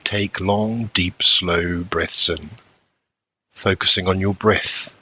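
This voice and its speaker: Slow, deep-voiced man guiding meditation. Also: bright, forceful tone, breathy edge, rough recording. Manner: measured, instructional, with frequent pauses between short phrases. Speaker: meditation guide. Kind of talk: scripted guided meditation narration.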